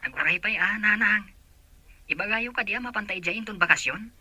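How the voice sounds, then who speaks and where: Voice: mid-range voice, moderate pace, sharp tone, no echo. An actor playing a grown child who confides in family and friends in an Ilocano audio drama. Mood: questioning.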